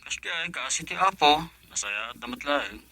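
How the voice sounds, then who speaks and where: A man's voice: fairly high pitch, expressive, moderate pace, sharp tone, no echo, heavily acted. An actor playing an affectionate husband and father in a radio drama, with a Filipino accent. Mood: tender. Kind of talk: Ilocano radio drama acting.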